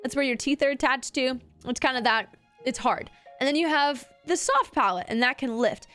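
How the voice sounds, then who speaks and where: A woman's voice: high voice, moderate pace, a bit bright, soft background music. A young woman streamer, giving a chatty, giggly voice lesson to her chat and swearing casually. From Canada.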